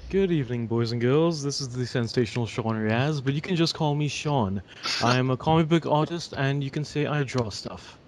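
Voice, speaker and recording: A man's voice: mid-range voice, moderate pace, slight background noise. A man from Trinidad, a comic book artist guest, joking and telling stories in casual chat. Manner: joking, storytelling.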